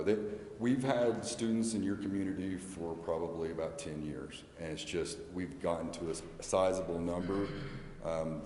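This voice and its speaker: American man, low voice, halting explanatory answers, public meeting, reverberant hall. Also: warm tone. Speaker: school representative addressing a city council. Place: large indoor council chamber. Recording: echoey meeting hall with background noise.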